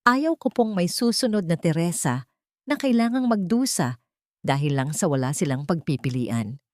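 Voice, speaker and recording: Casting: actress for kind, forgiving young heroine, solemn delivery, dry studio sound, story drama. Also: female voice, on the low side, expressive, moderate pace, no room sound, natural voice. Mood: earnest. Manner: soft-spoken, solemn, compassionate. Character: gentle, forgiving young wealthy woman.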